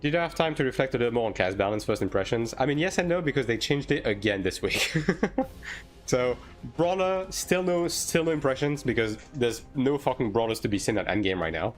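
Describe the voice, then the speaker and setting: A man from France who grew up speaking French talks casually as a game streamer. His voice sits at a middle pitch, and he speaks informally, often checking in with a tag question. Music plays faintly behind him. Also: lively intonation, brisk. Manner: informal, hedging, tags sentences with "right?". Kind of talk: casual livestream chat answering viewer questions.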